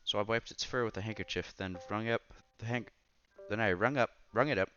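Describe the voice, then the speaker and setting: A man reading story narration aloud with expressive, theatrical reactions as he hosts a visual novel playthrough. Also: lowish voice, fairly quick, lo-fi sound.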